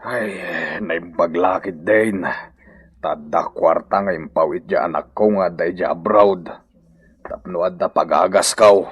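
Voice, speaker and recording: An actor playing an ailing, grumbling elderly husband in an Ilocano radio comedy, with a raspy voice and an Ilocano accent. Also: male voice, low voice, a little slow, no echo, dull audio. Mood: grateful, plaintive.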